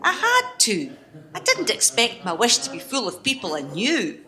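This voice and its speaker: A woman's voice: fairly high pitch, wildly swooping pitch, normal speed, bright, forceful tone, exaggerated voice. A woman playing a discontented, wistful Scottish woman longing for change in an amateur radio play. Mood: wistful, grumbling.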